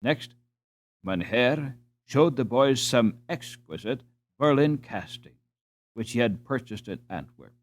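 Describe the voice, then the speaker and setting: Expressive man narrating with lively, swooping intonation. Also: mid-range voice, takes it slowly. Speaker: audiobook narrator. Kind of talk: scripted storybook narration.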